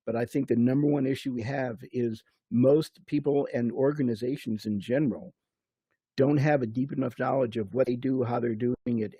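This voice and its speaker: Older security guy on a panel, gravelly and kinda husky, dry close sound. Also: medium pitch, dark tone, dry recording, lo-fi sound. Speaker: chief security officer, panelist. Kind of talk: panel discussion.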